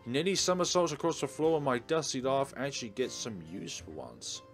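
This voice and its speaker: A man's voice: medium pitch, very animated, brisk. A man hosting a let's play, reading a visual novel aloud expressively and adding casual commentary. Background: soft game music.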